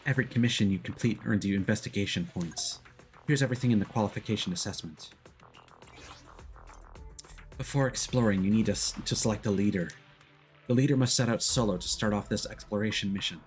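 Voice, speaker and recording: A man's voice: fairly low pitch, a little fast, long pauses, soft background music. A man streaming a video game, commenting live and reading on-screen text aloud.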